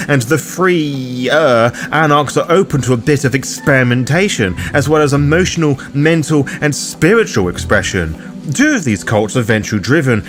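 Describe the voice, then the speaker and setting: A man presenting a scripted roleplaying guide in a theatrical, formal manner with cheeky asides. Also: mid-range voice. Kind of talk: scripted narration, dramatic explainer. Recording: music under the voice. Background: background music.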